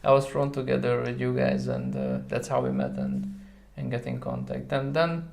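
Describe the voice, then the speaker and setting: Male podcast guest, Hungarian accent, gravelly fry, hesitant conversational delivery. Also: medium pitch, big pitch swings, normal speed, a little mellow, a little hiss or hum. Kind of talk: casual conversational interview.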